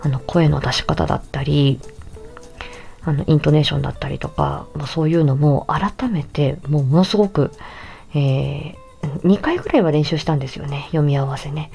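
A woman with a low, mellow voice gives a casual solo talk as a voice actor. She speaks politely and reflectively, as if chatting with listeners. The tone is dark and relaxed. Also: moderate pace, creaky, soft background music. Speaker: voice actor presenting own work.

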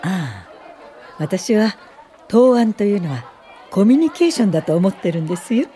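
An actress playing a gentle older woman professor of social work in a radio drama, speaking softly, politely and without hurry in a warm, encouraging way. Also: medium pitch, very animated, some chatter behind, lightly acted. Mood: kindly explaining. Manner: soft, polite, unhurried, old-fashioned feminine Japanese. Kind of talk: radio drama acting and reminiscing narration.

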